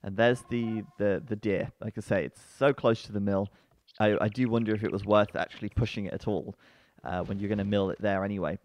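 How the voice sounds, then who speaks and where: A man casting a strategy game live, his voice sitting fairly low and sounding bassy. He talks in a loose, chatty stream with plenty of fillers, then jumps up into loud excited cries when a fight goes wrong. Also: brisk, no echo. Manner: chatty, breaks into excited outbursts. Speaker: esports commentator.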